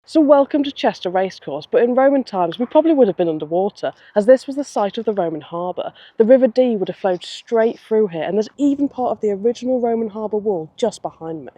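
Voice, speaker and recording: A woman's voice: medium pitch, animated, fast, few pauses, mellow, dry recording, muffled recording. A woman presenting a history tour on location.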